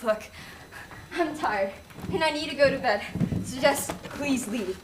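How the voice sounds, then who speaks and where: A weary college-age daughter acted by a young woman, high-pitched and quick to flare up. She pleads in exasperation on an echoey student stage, with faint noise. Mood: weary, defensive. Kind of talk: student stage play acting.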